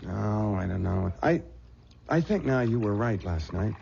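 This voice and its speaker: An actor playing a concerned, reassuring young bridegroom in an old-time radio drama, gentle and a little hesitant. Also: male voice, on the low side, lively intonation, unhurried, creaky, exaggerated voice. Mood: worried, hesitant. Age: young adult. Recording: dull, faintly noisy radio recording.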